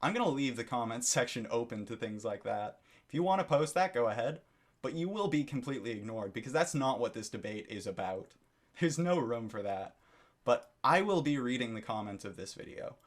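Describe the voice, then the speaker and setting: A man's voice: mid-range voice, expressive, fairly quick. A man giving a critical commentary monologue for his video channel, lecturing and disapproving, often repeating his phrases. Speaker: YouTube commentator.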